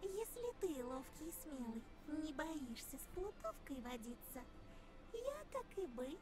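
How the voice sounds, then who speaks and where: A woman's voice: high-pitched, sing-song, a little slow. A woman playing a sly, teasing young forest girl in a fox mask, with mocking and menacing turns, in a game. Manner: playful, mocking, slipping into menacing whispers. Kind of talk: visual novel game voice acting.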